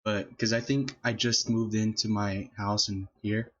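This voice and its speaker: A man's voice: lowish voice, level tone, measured pace, a bit bright. Young male podcast host, laughs through his rambling stories, dull lo-fi sound. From Filipino family, California. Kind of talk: casual storytelling chat.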